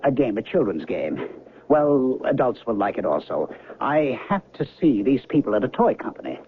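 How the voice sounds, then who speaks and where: An actor playing an agitated, bewildered physicist in a radio drama, insistent and flustered. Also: male voice, medium pitch, sing-song, moderate pace. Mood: flustered, earnest. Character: agitated, bewildered male physicist trapped on mysterious train. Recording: noisy, narrow-band old radio recording.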